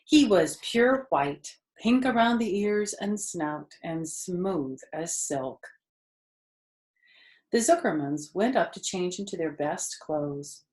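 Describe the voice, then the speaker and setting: Low-pitched woman reading a children's story. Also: lively intonation, takes it slowly, darkish tone, reverberant. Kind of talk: expressive children's storybook narration.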